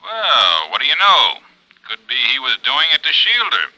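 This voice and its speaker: An actor playing a brisk, matter-of-fact male homicide lieutenant in a 1950s radio drama. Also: mid-range voice, wildly swooping pitch, sharp tone, heavily acted, poor audio. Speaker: police lieutenant.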